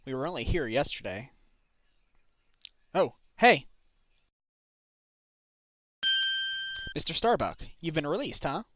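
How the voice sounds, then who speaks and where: A man's voice: fairly high pitch, sing-song, normal speed, a bit bright. A man playing a decent, wry defense lawyer and father in an amateur game fandub, talking in a conversational way. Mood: surprised.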